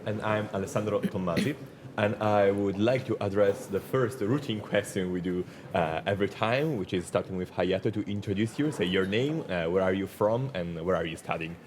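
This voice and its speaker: Low-voiced friendly male co-host. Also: moderate pace. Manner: friendly, playful questions. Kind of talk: hosting a panel interview. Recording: steady background noise.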